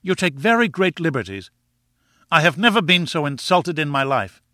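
A man voicing a proud, quick-tempered gentleman in an audiobook, earnest and formal as he protests. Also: mid-range voice, lively intonation, no room sound, clean studio sound, voice pushed up. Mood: indignant. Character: proud, quick-tempered Irish man, indignant yet deferential. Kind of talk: audiobook character dialogue.

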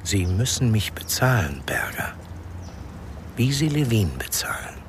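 A fairly low male voice as a seemingly harmless informant who insinuates rather than threatens. He speaks in a measured radio-drama style, with clear noise and clicks behind him. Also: very animated. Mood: insinuating. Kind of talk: radio crime drama acting.

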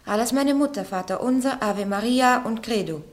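A woman's voice: a little high, normal speed, lightly acted. An actress playing a defiant, plain-spoken peasant girl of nineteen on trial in a radio play, simple, forthright and stubborn. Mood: simple, frank. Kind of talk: German radio play acting.

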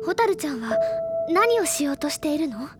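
High-voiced earnest schoolgirl sleuth. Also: sing-song. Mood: firm, pressing. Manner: feminine phrasing, deliberate explanatory delivery. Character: bookish teenage schoolgirl sleuth, earnest, insistent, easily flustered. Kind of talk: Japanese audio drama acting, accusatory monologue. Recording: loud music under the voice.

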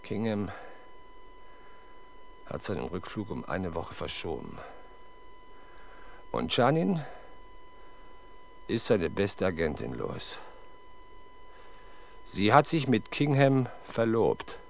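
A bitter, dutiful police inspector in a seventies German radio play. He speaks slowly and formally, with big swings of pitch, over some background noise. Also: huge pitch swings, exaggerated voice. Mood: grim, resigned. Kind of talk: 1970s German radio crime drama acting. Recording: 1970s radio drama, clear background noise.

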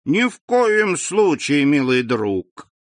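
A man's voice: fairly high pitch, big pitch swings, slow pace, no echo, voice pushed up. A man voicing a sly aging innkeeper. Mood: mocking. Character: sly aging male innkeeper, scheming and mocking.

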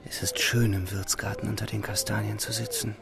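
An actor playing a war-weary young soldier's inner voice in a radio drama, introspective and gravelly, over music. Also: male voice, on the low side, level tone, a little fast. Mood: quiet relief. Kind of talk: literary radio drama monologue.

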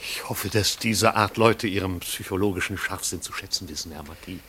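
An actor playing a dry, deferential yet disapproving manservant in a 1950s radio play, speaking formally and with measured irony. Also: male voice, low-pitched, sharp tone, slightly rough, full character voice. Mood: drily sceptical. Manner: formal, measured, drily ironic. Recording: noisy 1950s radio drama recording.

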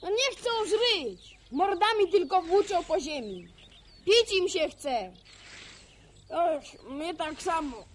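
High-voiced eager farm boy. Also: sing-song, slow pace, heavily acted. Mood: weary, wistful. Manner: colloquial rustic speech, calling out to cattle. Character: eager, bookish village farm boy herding cows. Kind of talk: naturalistic Polish radio drama acting.

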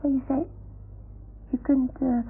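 An actress playing a naive, unsure little toy dog, talking in a childlike, hesitant way in an old radio play. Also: female voice, sits high, little pitch movement, measured pace, vocal fry, slightly rough, phone-quality sound, exaggerated voice. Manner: childlike, hesitant, searching for words. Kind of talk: 1960s-70s Dutch radio play acting. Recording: thin, noisy old radio recording.

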